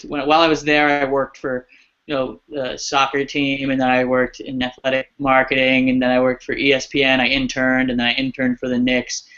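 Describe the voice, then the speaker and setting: Young man talking on a muffled video call. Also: mid-range voice. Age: 20s. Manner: relaxed, rambling with restarts. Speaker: alumni guest speaker. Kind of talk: casual video-call interview.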